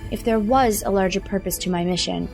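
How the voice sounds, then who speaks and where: An actress playing a guarded, formal starship communications officer in a fan audio drama. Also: female voice, mid-range voice, brisk, gravelly, darkish tone, natural voice. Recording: music score underneath.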